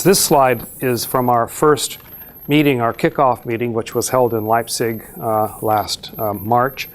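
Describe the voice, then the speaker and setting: A middle-aged man, a university professor, giving a slide presentation to an audience in a measured, explanatory way with frequent filler pauses. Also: medium pitch, a little hiss or hum. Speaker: university professor presenting a research exchange program.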